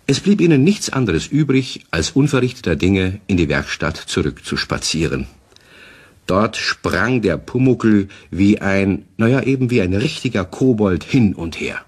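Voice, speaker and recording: A man playing the narrator of a children's radio play, telling the story directly to young listeners in a husky voice. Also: lowish voice, expressive, a little slow. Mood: animated, wry. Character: male storyteller addressing children.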